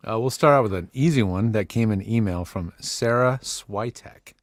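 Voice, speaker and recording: A man co-hosts the show, relaxed as he reads listener questions aloud. His voice sits fairly low. The recording is dry and close-miked. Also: normal speed, no echo. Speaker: podcast co-host. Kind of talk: casual livestream interview.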